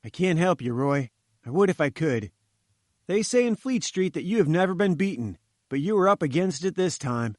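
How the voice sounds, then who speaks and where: A man's voice: fairly high pitch, sing-song, normal speed, dry recording, studio quality, pitched above the performer's own voice. A man doing a breezy, confident journalist. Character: confident good-humoured male journalist.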